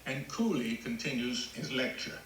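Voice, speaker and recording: A man reads scene directions from a script in a crisp narrator role. His mid-pitched voice is bright, with roomy echo and faint hiss on a video call. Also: animated, normal speed. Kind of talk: scripted narration at table read.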